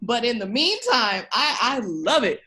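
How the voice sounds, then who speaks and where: A woman's voice: fairly high pitch, sing-song, unhurried, bright, forceful tone. Emphatic, encouraging woman in conversation. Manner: emphatic, encouraging, speaks directly to the listener. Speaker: podcast guest, education researcher. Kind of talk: conversational podcast interview.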